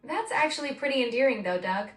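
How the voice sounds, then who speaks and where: An effusive, eager synthetic woman's voice, pitched a little high, gushing with praise in a chatty conversation. She sounds set back from the mic in a roomy space, with faint music underneath. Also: expressive, fast talker, fairly bright tone, recorded from a distance. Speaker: AI voice assistant co-host. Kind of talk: conversational chat.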